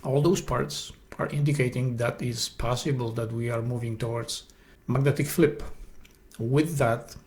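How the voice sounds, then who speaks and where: A man with a Polish accent, Polish his first language, hosting an esoteric presentation in an earnest, halting way with frequent restarts. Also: mid-range voice, steady tone, darkish tone. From Poland. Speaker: host.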